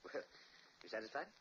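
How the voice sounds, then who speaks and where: A man's voice: fairly bright tone, close to an everyday voice. A man playing an uneasy philanthropist. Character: respectable middle-aged philanthropist man, uneasy. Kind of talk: 1940s radio acting. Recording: dull noisy old radio recording.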